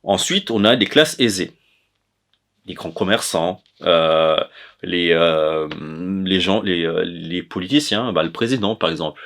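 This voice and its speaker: Low-voiced, halting man explaining. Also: a bit bright. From Comoros. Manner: hesitant, halting, often correcting himself. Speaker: presenter of an educational chronicle on language. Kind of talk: informal explanatory lecture.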